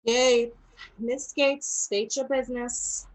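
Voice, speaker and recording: A snide, bored receptionist, played by a woman who drawls her refusals slowly. The voice is a little high and unhurried, and she sounds dismissive throughout. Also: steady tone, rough recording.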